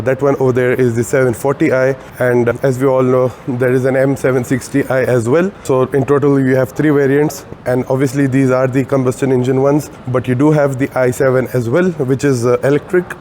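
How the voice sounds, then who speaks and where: A man's voice: medium pitch, a little mellow, a little hiss or hum. Man with a sore throat reviewing cars. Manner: chatty, many "uh" fillers, addresses viewers as guys. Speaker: car reviewer. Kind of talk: casual walkaround car review. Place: car showroom.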